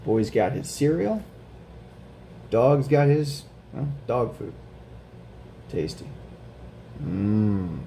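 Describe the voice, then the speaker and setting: A man reading a picture book to children at an unhurried, deliberate pace, his voice sitting at an ordinary middle pitch. He pauses now and then to chat and ask his young listeners questions. A faint hiss of background noise runs under the recording. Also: big pitch swings, creaky. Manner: slow, gentle storybook reading with questions to kids. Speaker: guest reader for children.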